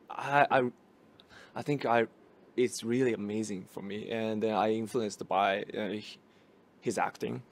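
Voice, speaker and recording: Young Japanese-native man, slow halting English. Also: mid-range voice. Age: young adult. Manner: halting, searching for English words. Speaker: actor guest. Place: small room at a film festival. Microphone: handheld mic held by interviewer.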